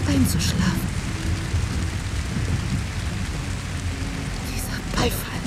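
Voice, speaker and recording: A woman's voice: a little high, very noisy, close to an everyday voice. A woman acting an exhausted, haunted musician in a radio drama, murmuring a fragmented inner monologue. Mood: weary, haunted. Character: exhausted, haunted woman pianist, drifting between sleep and memory.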